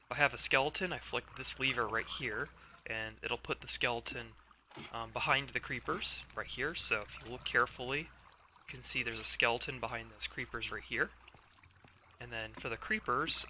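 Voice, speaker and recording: A man with a hoarse voice from being under the weather, hosting a casual gameplay commentary and explaining things step by step with many fillers. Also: medium pitch, noisy background. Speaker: let's play host. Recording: game music playing behind voice.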